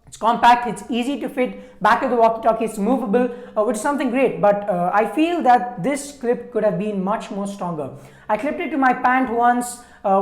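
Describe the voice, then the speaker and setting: A woman's voice: fairly high pitch, reverberant. A woman giving a conversational product review, explaining things informally.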